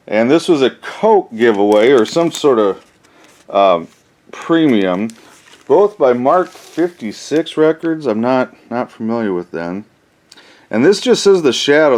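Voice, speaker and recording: A man's voice: mid-range voice, darkish tone. A man hosting a casual, unscripted collector's haul video, thinking aloud in a rambling way with folksy phrasing. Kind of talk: casual show-and-tell, unscripted.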